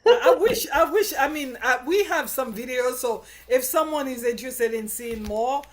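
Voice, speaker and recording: A woman with a fairly high voice hosts a casual live stream. She is chatty and upbeat, and she rambles and restarts her sentences as her excitement builds. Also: normal speed, a little hiss or hum. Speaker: show host.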